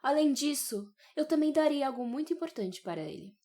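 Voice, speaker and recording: A woman's voice: high-pitched, lively intonation, brisk, warm tone. A woman with a Brazilian accent acting a fiercely protective, provoking mother who drives hard bargains in an audio novel. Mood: smug, pressing. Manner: assertive, taunting.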